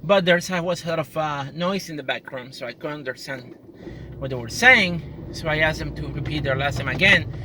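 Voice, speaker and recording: A woman's voice: low voice, brisk. A woman from Puerto Rico with a Puerto Rican accent, talking earnestly and with frustration in a personal monologue, often restarting her sentences. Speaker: customer service worker. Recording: loud traffic noise outdoors.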